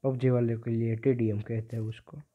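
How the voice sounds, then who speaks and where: A man's voice: medium pitch, level tone, normal speed. A teenage male giving casual gameplay commentary as a gaming video creator. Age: teenager, about 15. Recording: dull, lo-fi phone-like audio.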